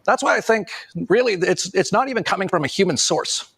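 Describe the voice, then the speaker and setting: A man's voice: high voice, animated, brisk, a bit bright, husky, no room sound. A man giving casual, rambling online commentary, often chuckling sardonically as he makes his points.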